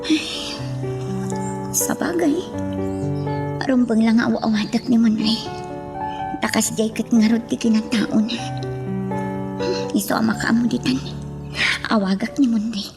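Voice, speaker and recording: A woman's voice: a little high, measured pace, pauses often. An actress playing a lovelorn, concerned young woman in a radio drama. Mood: wistful. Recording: clear music behind the voice.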